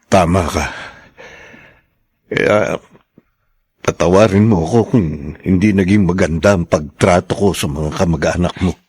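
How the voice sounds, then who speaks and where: A humbled husband seeking forgiveness in a Filipino radio drama. His voice is slightly low and husky, with a dry, close sound. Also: a little slow, pauses often, a little mellow, no echo. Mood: remorseful, pleading.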